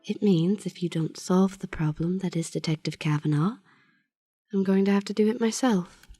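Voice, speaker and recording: A woman playing a wealthy, menacing manipulator in an audio drama, speaking in a measured, erudite, controlled manner. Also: mid-range voice, mellow, breathy edge, no room sound, clean studio sound, faint music behind, lightly acted. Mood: quietly menacing. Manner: measured, philosophical, veiled threats.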